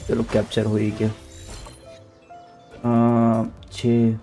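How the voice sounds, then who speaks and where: A young man with a sore throat giving chatty, slangy let's-play commentary, now and then shouting at the game characters. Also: medium pitch, monotone, drawn-out words, soft background music. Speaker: gaming YouTuber.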